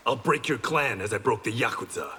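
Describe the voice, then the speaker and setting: An actor playing a stern, honorable reformed-gangster swordsman in a video game, speaking in a measured, terse, resolute way. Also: medium pitch, animated, bright, forceful tone. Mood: defiant. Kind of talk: video game fight banter. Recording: reverberant hall, faint background noise.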